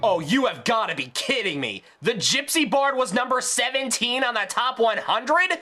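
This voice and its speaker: A man's voice: extremely high pitch, lively intonation, normal speed, loud music behind the voice. A man delivering a scripted ranting review in a sarcastic, exasperated, emphatic way. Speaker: video reviewer.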